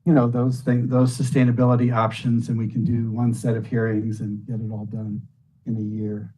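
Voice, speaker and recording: A hoarse man, a county commissioner, making a measured, reasoned case at a public meeting. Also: medium pitch, monotone, warm tone, poor audio. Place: meeting room.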